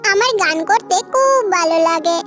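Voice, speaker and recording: A woman's voice: very high voice, lively intonation, moderate pace, over loud music. A woman voicing a small, cheeky, song-loving bird girl in a children's cartoon, teasing in a sing-song way. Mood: playful singing.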